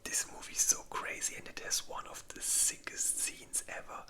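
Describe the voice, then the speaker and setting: An ASMR creator speaking in a breathy whisper, ranking movies in an informal, halting, unhurried way. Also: bright, forceful tone.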